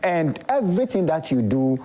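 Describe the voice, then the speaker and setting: A man's voice: on the high side, very animated, normal speed, warm tone. A Ghanaian man, an herbal doctor, explaining remedies as a TV interview guest in a hesitant way, with many fillers.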